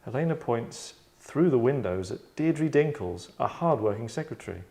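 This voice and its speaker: In an echoey room, a man with a medium-pitched voice hosts a script reading. He narrates stage directions and talks the scene over informally, repeating phrases for emphasis. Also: animated, normal speed, vocal fry, dark tone. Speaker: writer, director and host.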